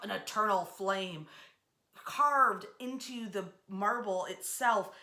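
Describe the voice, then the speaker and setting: Bright-voiced woman telling history stories. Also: a little high. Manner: chatty, emphatic, dramatic pauses and drawn-out words. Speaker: host of a local history storytelling series. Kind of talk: animated storytelling to an audience online.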